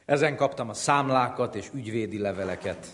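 A man's voice: mid-range voice, a bit bright. A man reciting comic rhyming verse in a wry, theatrical way, recorded with long hall reverb.

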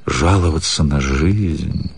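A man reading scripted literary narration in a measured way, with deliberate pauses. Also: rumbling low, gravelly. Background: music.

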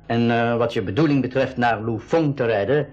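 An actor playing a courteous, firm army lieutenant in a school radio drama, speaking formally and insistently. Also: male voice, medium pitch, normal speed, darkish tone, lo-fi sound, soft background music, natural voice. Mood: grave, insistent. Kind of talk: 1980s Dutch-language school radio drama acting.

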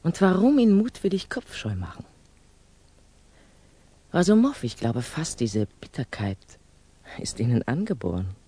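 An actress playing a composed, candid older female revolutionary in a 1960s German radio drama, measured, admonishing and probing. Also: a bass voice, big pitch swings, warm tone, slightly rough, no room sound, full character voice. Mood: probing, encouraging.

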